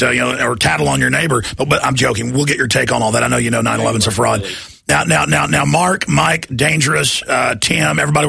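A man hosting a talk radio show, with a deep, gravelly voice and a fast, emphatic way of talking. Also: sharp tone, no echo, studio quality.

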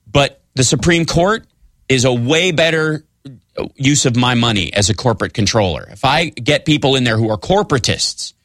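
A man appearing as a studio guest on a talk show, sarcastic and animated while giving his opinions. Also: medium pitch, normal speed, no echo. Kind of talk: political discussion.

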